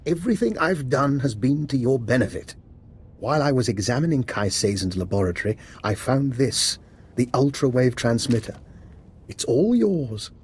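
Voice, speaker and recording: An actor playing an eerily calm, politely sinister male doctor for a video game, speaking in a measured, formal way. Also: mid-range voice, a little hiss or hum, lightly acted. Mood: smooth, amused. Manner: measured, urbane, formal, understated.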